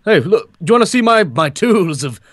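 A man's voice: high voice, big pitch swings, a little fast, a bit bright, dry recording, pitched above the performer's own voice, full character voice. A man voicing a boastful, oblivious male monster hunter in a game roleplay, talking in a showy, rambling way.

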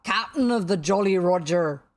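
A woman's voice: fairly low pitch, lively intonation, normal speed, close to an everyday voice. Woman as a blustering mock pirate captain. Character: cocky boy mimicking a blustering pirate captain.

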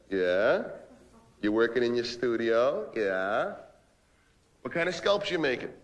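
A man's voice: mid-range voice, darkish tone, dull audio. An actor playing a manipulative, foul-mouthed male office boss working the phone, badgering and repeating himself in a comic dramatic monologue. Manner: repetitive, badgering, swinging between ranting and smooth-talking.

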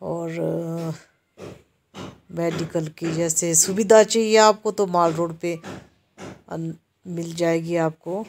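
Slow, even-voiced woman touring a market. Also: on the low side. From Himachal Pradesh, India. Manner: slow, even-toned, explaining to viewers. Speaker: vlogger giving a walking market tour. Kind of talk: casual walking tour narration. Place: busy town market street outdoors. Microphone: handheld camera while walking.

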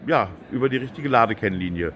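A man's voice: mid-range voice, lively intonation, quick pace, a bit bright, lo-fi sound, slight background noise. A man hosting a casual interview at a trade fair, chatty and friendly with lots of agreeing. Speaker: host. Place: exhibition hall.

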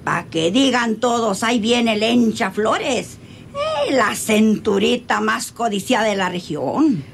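A woman's voice: on the high side, a little slow, creaky. A woman playing a sassy, vain, dreamy bandit's woman in a radio serial, with a rustic, colloquial manner. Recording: noisy old radio serial recording.

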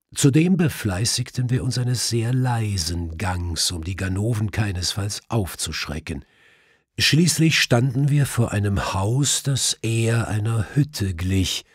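A man's voice: fairly low pitch, husky, no room sound, clean studio sound. A man reading a literary detective story as an audiobook narrator.

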